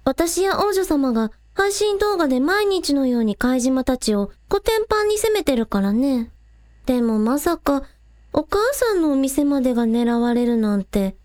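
ラジオドラマで、率直で面倒見のよい元気な若いアイドルの女の子を演じる女性。. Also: female voice, high voice, expressive, moderate pace, darkish tone. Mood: apologetic. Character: energetic young village idol girl, frank and caring. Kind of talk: amateur anime-like radio drama acting.

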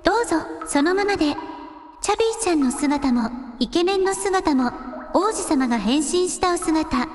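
A woman's voice playing a gentle, devoted young shrine maiden in a radio drama, speaking very formally. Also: very high-pitched, lively intonation, normal speed. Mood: gentle, reassuring. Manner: very polite, formal speech. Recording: long hall reverb with music.

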